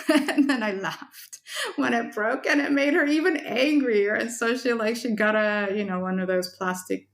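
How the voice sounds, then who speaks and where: Gravelly-voiced woman telling a reflective story. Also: mid-range voice. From Indonesia. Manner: thoughtful, conversational, many "like" fillers. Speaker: guest, researcher and author.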